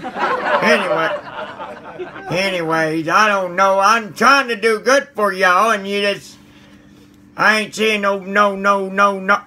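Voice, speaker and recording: A man's voice: high voice, steady tone, slow pace, pauses often, sharp tone, exaggerated voice. A man playing a cranky, folksy old man who stammers and mutters mild curses at his viewers. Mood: pleading. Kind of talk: comedic character monologue to viewers.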